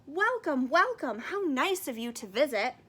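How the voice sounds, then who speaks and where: A woman voicing a kindly, generous uncle in a children's fable, with a gentle and welcoming manner. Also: sits high, big pitch swings, a little slow, full character voice. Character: kindly, generous uncle living simply in the hills. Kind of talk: children's picture book read-aloud.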